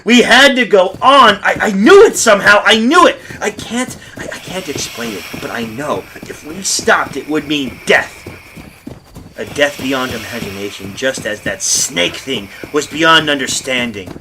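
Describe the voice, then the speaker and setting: An actor playing a desperate, terrified man in a 1930s-style radio horror drama, speaking in a halting, melodramatic way. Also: on the high side, lively intonation, background noise. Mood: haunted, frantic. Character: desperate terrified man recounting a nightmarish flight.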